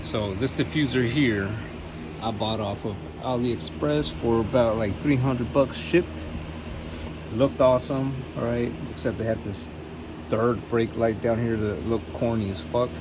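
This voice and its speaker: A man's voice: medium pitch, fairly even intonation, a little slow, background noise. A man giving a casual, unscripted DIY build walkthrough in a laid-back, plain-spoken, sweary way. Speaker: host of a DIY car build channel. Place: garage workshop.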